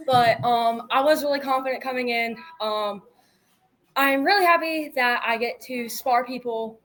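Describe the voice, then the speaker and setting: A woman, a martial arts competitor, answering interview questions eagerly, with a loud voice and many fillers. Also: fairly high pitch, unhurried, pauses often. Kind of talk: post-match interview.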